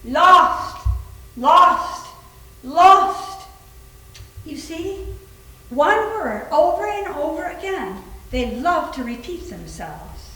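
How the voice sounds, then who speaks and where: A woman playing a self-important, married spirit medium in a readers theater, with a sharp, theatrical and deliberate manner. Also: very high-pitched, very animated, heavily acted. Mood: insistent. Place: reverberant room at a library reading. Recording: distant echoey room, noisy.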